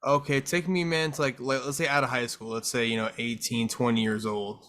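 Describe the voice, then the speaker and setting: A man's voice: medium pitch, little pitch movement, brisk, fairly bright tone. A man hosting a casual interview, prompting his guest in loose, informal phrases. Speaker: podcast host, interviewer.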